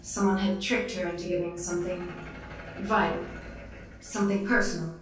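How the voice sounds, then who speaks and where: A woman's voice: fairly low pitch, steady tone, moderate pace, lightly acted. A woman playing an earnest, devout noblewoman in a fantasy audio drama, formal and emotionally fraught. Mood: troubled. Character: troubled noble clockwork lady, devout and earnest. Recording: heavy echo, distant, music underneath.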